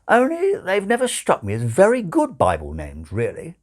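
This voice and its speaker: Man voicing a doubtful, conventional English father, lively pitch. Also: moderate pace. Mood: dismissive. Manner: speculative, hesitant, opinionated.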